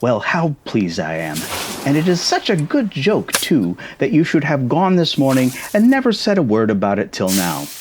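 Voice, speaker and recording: A man's voice: medium pitch, wildly swooping pitch, moderate pace, a little hiss or hum, pitched above the performer's own voice. A man voicing a fretful, melodramatic middle-aged wife and mother who scolds and exclaims, in a storybook reading. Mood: delighted.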